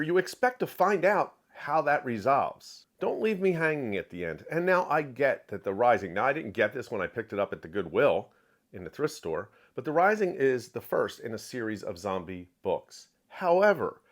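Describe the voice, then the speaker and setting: A man delivers an opinionated review as if straight to viewers. His mid-pitched voice swings animatedly up and down, and he stresses his points emphatically, often repeating a phrase to drive it home. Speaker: book reviewer. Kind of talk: opinionated book review to camera.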